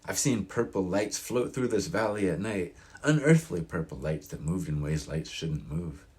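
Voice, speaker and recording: A man's voice: sits low, moderate pace, a little mellow, pitched above the performer's own voice, lightly acted. A man voicing an opinionated elderly small-town local in an audiobook, with a grating, folksy, emphatic delivery. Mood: indignant, eerie. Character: crusty old mountain-town man, proud, opinionated, wistful. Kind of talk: audiobook character reading.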